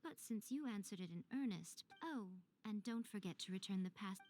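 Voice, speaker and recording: A woman's voice: mid-range voice, lively intonation, moderate pace, a little mellow, slight background noise, close to an everyday voice. A woman voicing a sly, elegant shrine priestess in a video game, coy, playful and a little haughty. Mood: coy, teasing.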